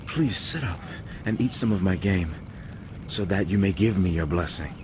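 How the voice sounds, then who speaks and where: An actor playing a respectful, earnest elder son, a hunter, in a Bible audio drama. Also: male voice, low-pitched, animated, moderate pace, noisy background. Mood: eager, respectful.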